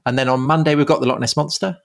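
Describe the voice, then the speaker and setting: A man co-hosting a podcast, chatting with many restarts and turning animated when joking. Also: fairly high pitch, very fast, vocal fry. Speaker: podcast co-host. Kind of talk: bantering podcast chat.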